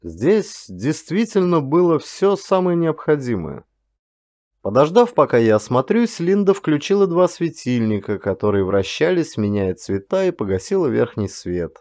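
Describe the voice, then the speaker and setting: A man's voice: a little high, big pitch swings, normal speed, darkish tone, no echo, studio quality. A man reading a first-person audiobook thriller as a dramatic scripted narration.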